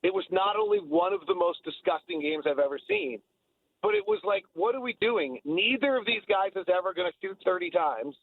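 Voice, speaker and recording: High-pitched, gravelly man talking sports on radio. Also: big pitch swings, a little fast, no echo, narrow, tinny audio. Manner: emphatic, opinionated, repeats phrases for emphasis. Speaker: basketball analyst and guest. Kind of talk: opinionated sports talk radio conversation. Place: radio talk show.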